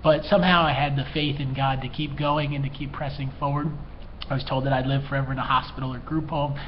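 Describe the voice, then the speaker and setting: A man with a fairly even, mid-pitched voice talks earnestly and from the heart, sounding emotional and a little tearful. He speaks straight to the viewer as an educator offering support. The recording is muffled and lo-fi, with faint noise behind him. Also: fast. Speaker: mental health educator, therapist and coach. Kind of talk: earnest personal talk to camera.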